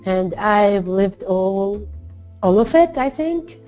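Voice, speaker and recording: A woman speaks in an interview. She stacks her points into emphatic, repeated lists at a middling pitch. The recording sounds slightly dull and lo-fi. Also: animated, slow pace, warm tone, faint music behind. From Syria. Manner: emphatic, builds repetitive lists. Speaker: interviewee, writer. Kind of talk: personal testimony in an interview.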